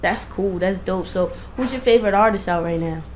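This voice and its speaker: A woman hosts a radio interview in a mid-pitched voice. Her talk is informal, slangy and emphatic, and she doubles back on phrases to drive them home. There is audible noise around her. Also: brisk.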